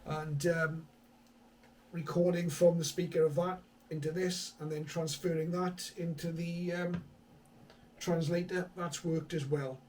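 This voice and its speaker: A man's voice: fairly high pitch, level tone, a little slow, darkish tone, recorded from a distance, a little hiss or hum, low-quality recording. A man presenting a hobby video in a casual, conversational explaining style, with some hesitant thinking aloud. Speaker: hobby radio vlogger, presenter. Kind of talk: casual tech explainer to camera.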